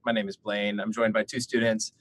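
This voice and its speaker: A man hosting an admissions webinar, asking short questions in a relaxed way with a few fillers. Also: lowish voice, brisk. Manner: relaxed, brief prompts, some fillers.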